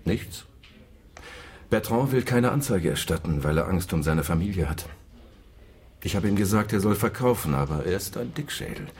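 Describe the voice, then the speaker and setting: Small-town male cop, low voice, dry and sardonic, radio crime play. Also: expressive, moderate pace, long pauses, a little mellow. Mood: matter-of-fact, dry. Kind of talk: radio crime drama acting.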